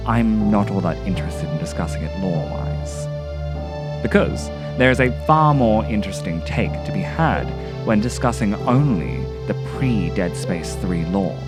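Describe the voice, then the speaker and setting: A man's voice: on the low side, lively intonation, normal speed. A man narrating a scripted video essay in a contemplative, literary manner with theatrical phrasing. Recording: music behind the voice. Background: music.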